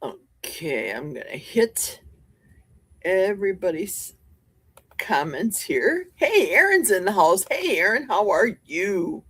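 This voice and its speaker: Husky, slow-talking woman livestreaming. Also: mid-range voice, big pitch swings, fairly bright tone, no room sound. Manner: chatty, jokey, reads viewer comments aloud and answers them. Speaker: livestream host. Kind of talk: casual livestream chat. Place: home basement. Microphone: desk mic with a cough button.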